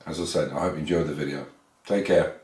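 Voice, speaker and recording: Deep-voiced Englishman in an echoey garage. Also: steady tone, fast, a little mellow. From England. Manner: rambling, with frequent restarts and filler sounds. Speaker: classic car restorer and channel host. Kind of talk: casual workshop walkthrough. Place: garage workshop.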